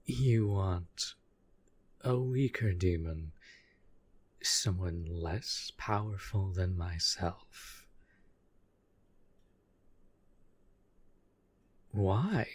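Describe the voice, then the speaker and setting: A man playing a proud, possessive demon in a roleplay audio drama, speaking formally to the master he serves with menacing devotion in a husky, breathy voice. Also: low voice, a little mellow, dry recording, exaggerated voice. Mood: jealous, hurt. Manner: formal, measured, menacing yet deferential.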